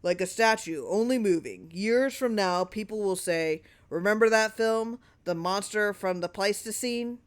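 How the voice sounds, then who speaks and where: A woman voicing a wily, flattering old male lawyer in an audiobook story, talking in a persuasive, rhetorical way. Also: medium pitch, measured pace, hardly any pauses, clear, resonant tone, close to an everyday voice.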